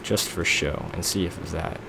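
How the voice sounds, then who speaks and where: A man's voice: sits low, steady tone, a little slow, vocal fry, noisy background. A young man casually narrating a block-building game, rambling and poking fun at himself while he works things out. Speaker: let's play gamer. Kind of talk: casual gameplay commentary.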